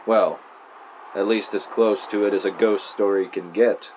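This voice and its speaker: A man's voice: on the low side, fairly even intonation, unhurried, darkish tone, close to an everyday voice. An actor playing a male true-crime podcaster, telling a spooky story theatrically with wry asides into a clip-on mic. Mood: ominous, dramatic. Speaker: podcast host character. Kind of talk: audio drama, true-crime narration recorded on tape. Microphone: mic clipped to shirt. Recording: muffled noisy tape, clip-on mic in car.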